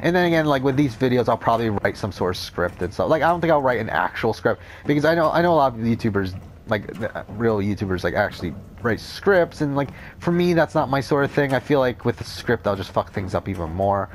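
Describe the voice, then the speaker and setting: A young man with a cold, rambling through casual gameplay commentary, talking off the top of his head with a deep, unclear voice and frequent swearing. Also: animated, quick pace, a little hiss or hum. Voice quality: deep, not clear. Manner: rambling, unscripted, says whatever comes to mind, swears often. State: has a cold. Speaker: Let's Play commentator.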